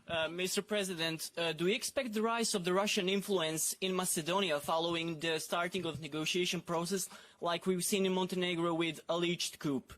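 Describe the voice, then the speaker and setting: A man's voice: high-pitched, steady tone, brisk, a bit bright. A male journalist asking a formal, carefully worded question at a press conference, with slight hesitations.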